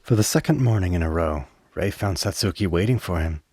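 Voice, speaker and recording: Deep-voiced male radio play narrator. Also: expressive, brisk, darkish tone, husky, no echo, studio quality.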